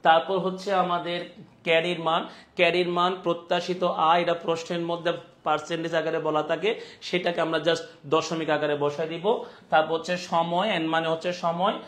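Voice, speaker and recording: A man's voice: on the high side, flat delivery, brisk, a bit bright. A man with a Bangladeshi accent teaching a live online class, repeating his points and often checking that students follow.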